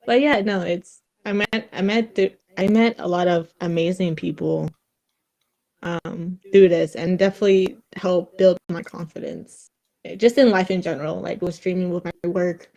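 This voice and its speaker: A woman's voice: lowish voice, steady tone, creaky, warm tone. Giggly woman with a slight Vietnamese accent. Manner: halting, many restarts and fillers, giggly. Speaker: streamer and podcast guest. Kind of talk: casual chat.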